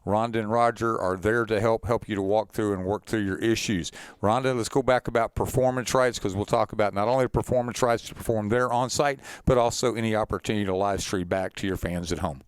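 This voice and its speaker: A man's voice: lowish voice, fairly even intonation, fairly quick, few pauses, clear, resonant tone, no echo. A man co-presenting an information session as an organizer, explaining logistics in a courteous, service-minded way.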